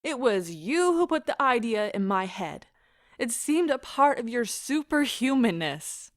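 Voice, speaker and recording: An actress plays a wounded woman in a romantic audio drama, pleading with theatrical intensity. Her voice is high and she takes her words slowly, weighing each one with impassioned feeling. The sound is close and dry. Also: big pitch swings, no echo. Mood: bitter, aggrieved. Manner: theatrical, impassioned, deliberate delivery. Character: anguished, pleading woman leaving a broken love affair. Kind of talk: stage-style audio drama acting.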